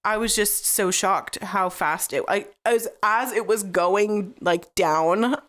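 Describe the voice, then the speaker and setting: A woman's voice: mid-range voice, takes it slowly, a bit bright. Chatty young woman, a bit congested. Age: young adult. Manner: chatty, laughs often, trails off and restarts. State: slightly raspy and congested after flu. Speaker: podcast co-host. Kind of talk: casual chat.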